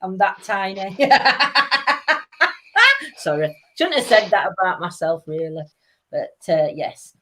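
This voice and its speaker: A woman's voice: medium pitch, sing-song, slow, bright, forceful tone. A woman hosting a casual livestream chat, reading comments and laughing often. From Wales. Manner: chatty, laughing often, reads comments aloud. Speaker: livestream host.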